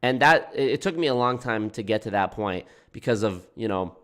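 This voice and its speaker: A man's voice: lowish voice, lively intonation, normal speed, fairly bright tone. Congested, nasal-sounding man livestreaming. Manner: rambling, self-correcting, frequent restarts. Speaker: anti-MLM YouTube creator. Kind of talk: casual livestream talk to chat.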